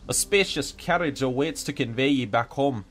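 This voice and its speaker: A man's voice: mid-range voice, normal speed, fairly bright tone, slight background noise, close to an everyday voice. A man voicing a charming, bearded, dwarfish knight with a suave, courtly, flirtatious manner. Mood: suave, gallant.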